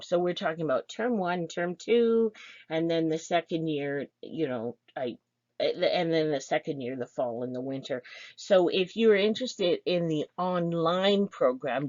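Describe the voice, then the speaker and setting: A woman's voice: lowish voice, dry recording, muffled recording. A woman presenting a program information session, explaining things step by step with fillers and restarts. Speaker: program instructor.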